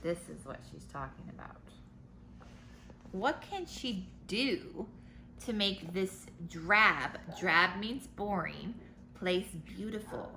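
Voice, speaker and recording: A woman teacher reading a storybook aloud to children, pausing to explain words and pictures in simple terms. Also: medium pitch, lively intonation, sharp tone, low-quality recording. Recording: noticeable background noise.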